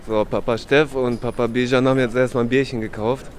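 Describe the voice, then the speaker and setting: A man's voice: mid-range voice, level tone, brisk. A man with a cold hosting a vlog outdoors, chatty and upbeat in a slangy way. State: has a cold. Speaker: vlog host. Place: outdoors in a city. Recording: outdoor street noise behind.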